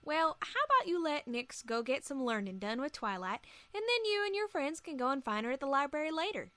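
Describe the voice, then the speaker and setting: A woman's voice: sits high, big pitch swings, fairly quick, a bit bright, dry recording. A woman voicing a folksy, plain-spoken young female farm pony with a Southern US accent in an audio story. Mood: easygoing, warm. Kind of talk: acted audio story dialogue.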